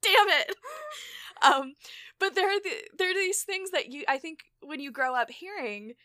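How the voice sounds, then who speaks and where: A woman hosting a casual conversational interview, animated and self-deprecating, often laughing as she talks. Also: extremely high pitch, normal speed, dry recording, studio quality. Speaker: podcast host and audio drama creator.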